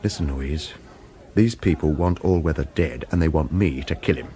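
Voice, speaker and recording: A man's voice: rumbling low, normal speed, mellow. An actor playing a wary hitman who narrates a radio drama and speaks protectively, with hesitant reassurance. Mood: earnest, cautious. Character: wary male hitman narrator, protective of his lover, evasive. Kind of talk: radio drama acting with first-person noir narration.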